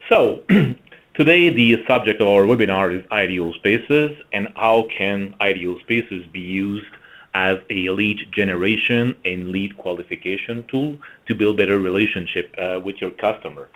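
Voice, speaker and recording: A man with a French-Canadian accent presenting a business webinar, halting with frequent fillers and throat clearing. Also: lowish voice, fairly bright tone, poor audio. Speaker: marketing executive presenting a webinar.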